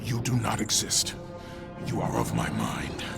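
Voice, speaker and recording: An actor playing a grim, stern Spartan warrior who speaks in terse growls. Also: male voice, lowish voice, big pitch swings, unhurried, creaky, distant mic, loud music behind the voice, full character voice.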